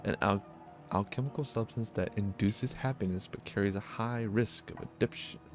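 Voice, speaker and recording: Male gaming host, lowish voice, visual novel narration, informal blunt commentary, music underneath. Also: normal speed. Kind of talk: visual novel let's play, narration read aloud with casual asides.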